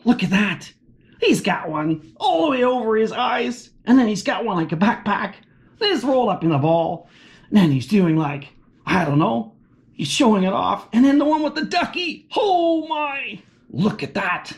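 A man's voice: high-pitched, moderate pace. A man reading a children's book aloud, asking the young listeners questions along the way. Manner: animated, asks children questions. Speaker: children's story reader.

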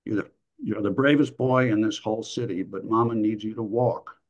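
Scared young mom pleading, low husky voice, man reading her lines. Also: moderate pace, lightly acted. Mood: urgent. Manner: pleading, urgent. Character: frightened young mother fleeing a fire.

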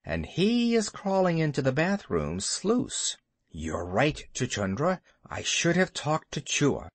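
A bold young male mongoose speaks briskly and a little scornfully, as a storyteller puts him on. A storybook hero with a quick temper. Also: mid-range voice, wildly swooping pitch, no echo, exaggerated voice. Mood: determined.

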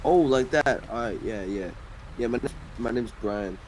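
A man's voice: mid-range voice, measured pace, darkish tone, slight background noise, poor audio. A man talking casually in character in an online roleplay game.

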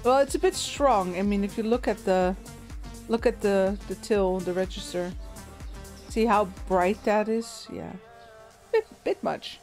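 A woman's voice: medium pitch, a little slow, faint music behind. A woman with a soothing voice streaming a game, chatting casually with viewers and thinking aloud with fillers and small laughs. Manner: relaxed, chatty, frequent little laughs and fillers.

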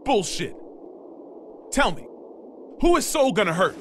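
An actor playing a blunt, agitated older president who speaks with urgent disbelief in an animated game cutscene. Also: male voice, high-pitched, measured pace, a little hiss or hum, full character voice. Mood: agitated, demanding. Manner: blunt, incredulous, urgent questioning.

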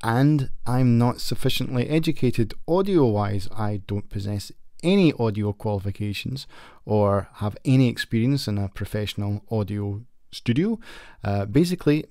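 A man in his thirties talks through a product review in a plain, modest way, with the odd filler. His voice sits low, and it is caught close on a condenser mic in a small home studio. The sound is very dry, with no room around it. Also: warm tone, no room sound. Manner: plain, unpolished, self-deprecating talk with fillers. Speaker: tech reviewer. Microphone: large studio condenser mic close up, headphones on.

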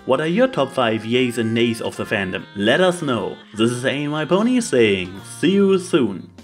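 A man's voice: mid-range voice, very animated, moderate pace. A man reading scripted opinion commentary as a video host, making his points with emphatic lists. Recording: music behind the voice. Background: background music.

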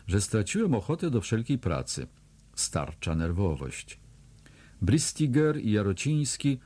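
A man's voice: medium pitch, mellow, husky. A man reading diary entries aloud as an audiobook narrator, with a dry, sardonic delivery that lands hard on the insults. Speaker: audiobook reader.

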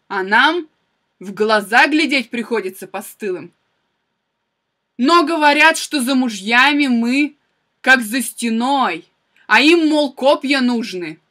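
Casting: actress for wronged, vengeful wife in classical tragedy. Higher female voice, very slow, declamatory delivery, proud and bitter, intense. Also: bright, forceful tone, heavily acted. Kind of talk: theatrical classical tragedy monologue.

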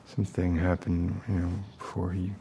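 A middle-aged man acting an unstable, falsely gentle survivalist in a soft-spoken ASMR roleplay, rambling haltingly. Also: low-pitched, completely flat delivery, vocal fry, a little hiss or hum. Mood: tender, earnest. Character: unstable, falsely gentle self-appointed protector, survivalist loner.

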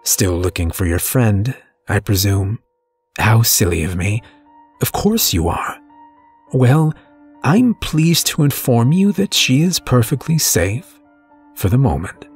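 A man voicing a pale, refined male stranger who bargains for souls, with a smooth, sharp, mock-polite drawl. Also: mid-range voice, animated, slow pace, soft background music, exaggerated voice. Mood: taunting. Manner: taunting, giddy, drawling. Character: pale, refined, taunting male soul-dealer.

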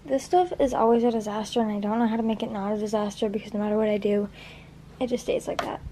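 A woman's voice: mid-range voice, fairly even intonation, quick pace. A teenage girl vlogging casually in her bedroom, rambling with lots of fillers while tidying up. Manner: rambling, filler-heavy, chatty. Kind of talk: casual vlog chat. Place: bedroom, indoors. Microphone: handheld camera at arm's length. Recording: noisy home recording.

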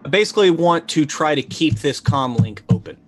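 A middle-aged man playing a tabletop roleplaying game, improvising casually and haltingly as he thinks aloud. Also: fairly high pitch, lively intonation, faint music behind.

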